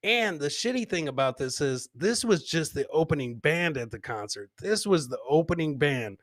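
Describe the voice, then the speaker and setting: Higher-pitched man hosting comedy clips. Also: animated, normal speed, no echo, clean studio sound. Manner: crude, profane, mocking humour; repeats his punchlines. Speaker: comedy show host. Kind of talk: casual live comedy commentary on video clips.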